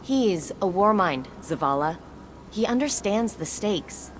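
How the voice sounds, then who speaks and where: A woman's voice: medium pitch, lively intonation, measured pace, a little hiss or hum, lightly acted. A woman playing an earnest warrior engineer. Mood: reassuring. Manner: earnest, plain-spoken and reassuring. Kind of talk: game cutscene acting.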